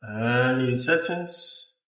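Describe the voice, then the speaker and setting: A man presenting a hands-on technical tutorial, explaining step by step in a halting way. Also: medium pitch, expressive, a bit bright. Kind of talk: hands-on instructional walkthrough. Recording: roomy echo, uneven distance from mic.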